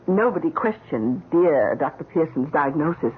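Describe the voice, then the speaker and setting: A coolly scheming radio housekeeper, husky. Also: female voice, low voice, expressive, measured pace, heavily acted. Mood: sly, menacing. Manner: composed, insinuating, mock-deferential. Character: scheming, coolly menacing female housekeeper blackmailing her employer. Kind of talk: old-time radio drama acting. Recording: muffled, narrow-band old radio recording.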